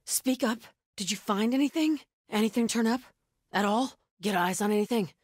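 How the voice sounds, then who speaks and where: A woman's voice: a little high, normal speed, no room sound, clean studio sound, lightly acted. Actress as a fierce, terse female cult soldier. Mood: tense, searching. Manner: short clipped callouts, from hushed to shouted. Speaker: video game enemy character. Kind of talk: video game combat barks.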